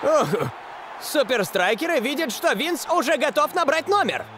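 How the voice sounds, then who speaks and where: A shrill, excitable match commentator. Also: male voice, moderate pace, background noise. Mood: excited. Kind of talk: animated sports commentary. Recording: cartoon dub with loud music.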